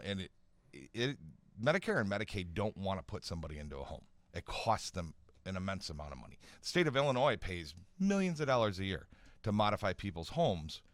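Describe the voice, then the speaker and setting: Deep-voiced man explaining informally. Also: no room sound. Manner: hesitant explaining, repeats phrases, seeks agreement with "right?" and "you know". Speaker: guest expert on home accessibility. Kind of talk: informal radio interview talk. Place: radio studio.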